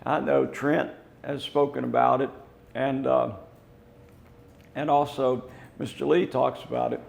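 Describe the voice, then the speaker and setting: An older man with a hoarse voice, explaining building plans in a casual, rambling way with many fillers. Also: mid-range voice, flat delivery, unhurried. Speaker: company representative.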